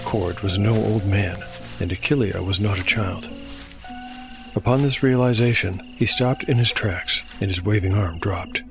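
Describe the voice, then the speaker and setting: Low-voiced man narrating over music. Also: measured pace, vocal fry. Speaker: narrator and solo game master. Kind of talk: scripted fantasy narration. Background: music bed.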